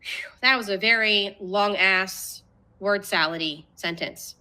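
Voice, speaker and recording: A woman's voice: medium pitch, slow pace, sharp tone. A woman reading a document aloud in an emphatic, theatrical way with jokey casual asides.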